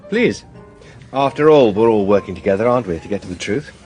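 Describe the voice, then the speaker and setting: An actor playing a confident, persistent and flirtatious barrister in a radio drama, with an assertive, urbane manner. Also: male voice, fairly low pitch, lively intonation, brisk, darkish tone, faint music behind, close to an everyday voice.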